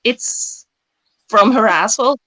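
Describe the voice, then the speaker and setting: A woman speaks with an accent that sounds Russian. Her voice sits slightly high. She talks hesitantly, pausing and restarting, in casual panel chat. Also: a bit bright, rough recording.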